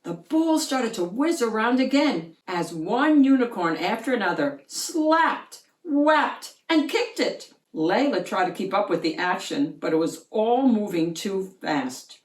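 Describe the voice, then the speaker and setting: A woman narrates a picture book for children. Her reading is expressive, with highly animated pitch, and the room around her is noticeably echoey. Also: a little slow, few pauses. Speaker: storyteller, children's read-aloud host.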